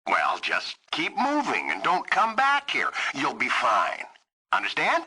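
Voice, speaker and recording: A gruff military man guarding a base, officious and irritable. His voice is very sharp and forceful, swinging widely in pitch as he barks out clipped orders and bullies whoever stands before him. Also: huge pitch swings, unhurried, full character voice, low-quality recording. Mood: stern, suspicious. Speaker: military gate guard and sergeant. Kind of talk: dramatic video game voice acting.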